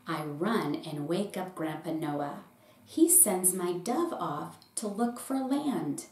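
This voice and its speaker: A middle-aged woman, a pastor, reading a children's picture book aloud in short, deliberate phrases. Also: fairly low pitch, expressive, darkish tone. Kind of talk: storybook read-aloud for children. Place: small indoor room with bookshelf. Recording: roomy echo with faint background noise.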